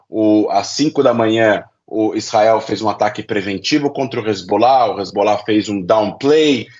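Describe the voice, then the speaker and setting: A man's voice: medium pitch, dull audio. A man with a Brazilian accent giving didactic analyst commentary, laying out his points step by step. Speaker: political analyst, interview guest. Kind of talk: explanatory commentary.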